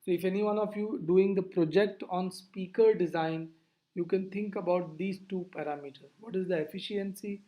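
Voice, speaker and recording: A lecturer explaining physics over slides in a deliberate, didactic way, posing questions and then answering them. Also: medium pitch, steady tone, muffled recording.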